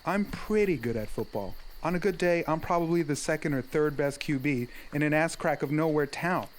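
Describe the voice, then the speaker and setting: A man playing a brash but loyal small-town teenage football jock in an audio drama, blunt, slangy and halting. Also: fairly high pitch, slight background noise, close to an everyday voice. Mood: self-deprecating. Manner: blunt, slangy, halting over big words. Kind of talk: naturalistic audio drama acting. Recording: faint outdoor night ambience with crickets.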